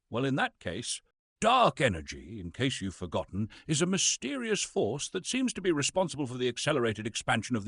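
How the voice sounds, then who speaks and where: Man playing pompous thespian, wildly swooping pitch. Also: a bit bright, husky, no echo, studio quality, full character voice. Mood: indignant. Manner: dramatic, pompous, emphatic delivery. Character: pompous, disgruntled male Shakespearean actor reading physics lectures. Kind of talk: theatrical scripted science narration with comic asides.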